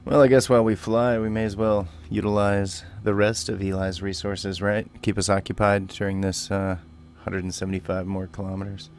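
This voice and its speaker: A man hosts a gameplay video in a fairly low voice with plenty of gravel. He chats casually and playfully, bantering along over faint game ambience. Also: level tone, moderate pace, a little mellow, slight background noise. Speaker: gaming video host.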